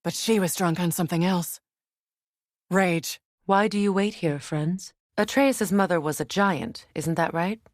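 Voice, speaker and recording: An actress playing a proud, battle-hardened middle-aged warrior goddess in a video game, with a measured, commanding, dry manner. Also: female voice, lowish voice, dry recording, natural voice. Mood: calm, reflective. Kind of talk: video game character dialogue and battle callouts.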